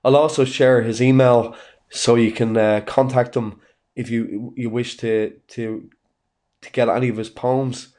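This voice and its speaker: A man's voice: mid-range voice, fairly even intonation, unhurried, darkish tone. A man hosting a podcast, introducing his guest with enthusiastic, repeated praise and frequent "um"s. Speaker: podcast host. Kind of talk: podcast intro and interview chat.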